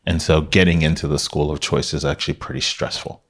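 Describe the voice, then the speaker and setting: A man with an extremely low, deep voice talks about his company as an interview guest. He is from Miami, Florida, and tells his story at an easy pace, often restarting his sentences and filling pauses. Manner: relaxed storytelling with many restarts and fillers. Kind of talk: casual interview talk.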